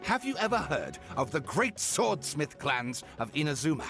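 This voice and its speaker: An eager writer spins his tale with grand flourishes for a video game. His voice rides high and swings widely in pitch. Soft game music hums underneath. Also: very animated, normal speed. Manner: dramatic, showmanlike. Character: eager, theatrical male writer. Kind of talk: game voice acting.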